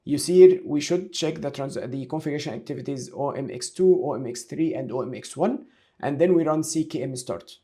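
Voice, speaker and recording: A man giving a step-by-step software tutorial, talking through each action aloud as an instructor. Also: on the high side, a little fast. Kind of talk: screen-share tutorial, explaining step by step.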